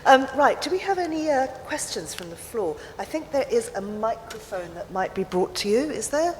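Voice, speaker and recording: A woman moderating a festival panel, brisk and polite as she calls for questions. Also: on the high side, sing-song, a little mellow. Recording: reverberant festival hall on PA, faint noise.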